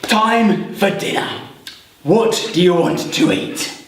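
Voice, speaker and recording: A man's voice: sits high, slow pace, vocal fry, heavily acted. A man voicing a boisterous, boastful goblin leader with a hearty, exclamatory delivery. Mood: bossy, jovial. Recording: roomy echo of a live space.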